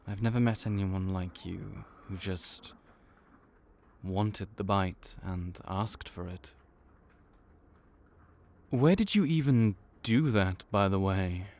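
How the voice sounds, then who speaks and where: A man playing a low, slow, deadpan vampire clerk. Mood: easygoing. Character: laid-back male vampire clerk and mentor. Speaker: audio roleplay voice actor. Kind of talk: audio roleplay, speaking to the listener.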